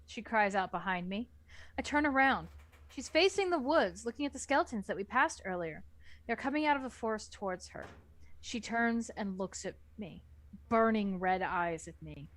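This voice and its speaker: A woman's voice: medium pitch, animated, moderate pace, fairly bright tone, dry recording. A woman reading scripted first-person story narration as the narrator of an amateur book reading.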